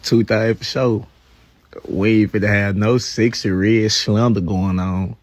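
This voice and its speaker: A man's voice: fairly low pitch, fairly even intonation, measured pace, no room sound. Mocking man ranting for laughs. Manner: loud, mocking, joking. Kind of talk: comedic social media rant.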